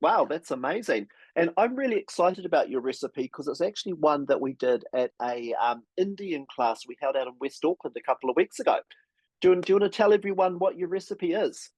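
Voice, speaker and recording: A man's voice: fairly high pitch, expressive, fairly quick, darkish tone, husky, no echo, low-quality recording. A man hosting an online cooking class over a video call, upbeat and encouraging with his guests. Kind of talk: casual hosting.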